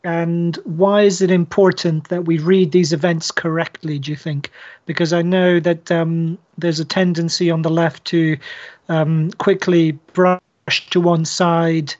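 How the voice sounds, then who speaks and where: A podcast co-host asking long, articulate questions in a measured way. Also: medium pitch, flat delivery, a little mellow. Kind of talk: interview questions. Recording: slightly muffled recording.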